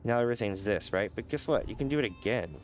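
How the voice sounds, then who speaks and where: A man's voice: lowish voice, expressive, fast talker, highs cut off. A man with a sore throat and a nasal voice, casually explaining a game to viewers during a live stream. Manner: casual, explanatory, chuckles often. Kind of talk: live gameplay commentary.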